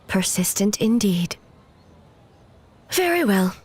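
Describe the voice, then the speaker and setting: A woman's voice: mid-range voice, sing-song, drawn-out words, darkish tone, breathy edge, heavily acted. A woman playing a solemn, dignified immortal ruler in a video game, speaking in a formal, reserved way. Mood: wryly relenting. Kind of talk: video game character acting.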